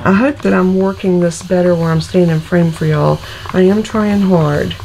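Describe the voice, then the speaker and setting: A woman's voice: on the low side, fairly even intonation, moderate pace, gravelly, warm tone, a little hiss or hum, poor audio. A middle-aged woman, still congested from illness, chatting homily through each step of a card-making tutorial. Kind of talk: casual craft tutorial.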